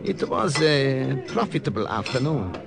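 An actor playing a nervous professor working undercover in a radio drama, with a careful, polite, hesitant manner. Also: male voice, medium pitch, big pitch swings, unhurried, vocal fry, a loud crowd. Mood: confiding. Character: nervous professor spying undercover as a German veteran. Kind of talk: old-time radio drama acting.

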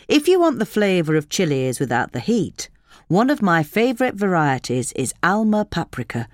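A woman's voice: sits low, dry recording, clean studio sound. A woman reading a gardening article aloud as a scripted magazine narration.

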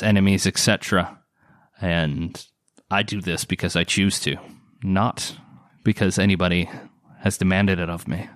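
A man improvising casual in-character chat as a tabletop player, wry and halting in his phrasing. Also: lowish voice, a bit bright, no echo, studio quality.

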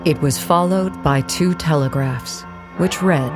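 A narrator reading scripted historical material for an audio play in a measured, matter-of-fact way, with music underneath. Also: mid-range voice, close to an everyday voice. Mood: measured, factual.

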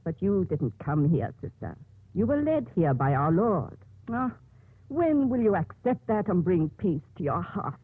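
An actress playing a stern, authoritative older nun who runs a convent, with commanding, emphatic delivery in a 1950s radio drama. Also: female voice, fairly low pitch, big pitch swings, measured pace, breathy edge, dry recording, exaggerated voice. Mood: earnest, pleading. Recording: muffled narrowband old radio recording.

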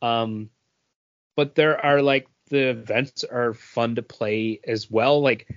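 A man's voice: mid-range voice, steady tone, slow pace. A man talking casually about games as a podcast co-host, on a slightly dull recording.

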